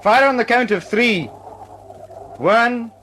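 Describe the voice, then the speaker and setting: An alert engineer in an old radio drama, calling out orders in a crisp, high voice. The recording has faint noise. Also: male voice, slow, bright, forceful tone, exaggerated voice. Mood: alert, commanding.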